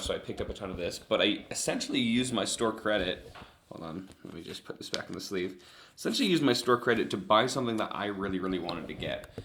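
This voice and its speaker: A man's voice: lowish voice, a bit bright. A young man chatting casually and eagerly in a vlog, showing off trading cards with lots of fillers. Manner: chatty and enthusiastic, many fillers.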